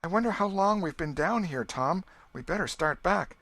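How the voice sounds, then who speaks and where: A man's voice: high voice, expressive, moderate pace, a bit bright, no echo, pitched above the performer's own voice, heavily acted. A man voicing an anxious young girl in a storybook, timid and pleading. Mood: uneasy.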